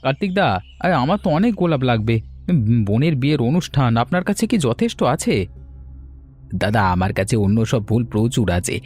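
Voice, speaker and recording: A man's voice: medium pitch, sing-song, brisk, long pauses, darkish tone, soft background music. A man voicing friendly, courteous village men in a moral-story cartoon, speaking earnestly. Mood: concerned. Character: friendly village men, a nursery seller and his customer.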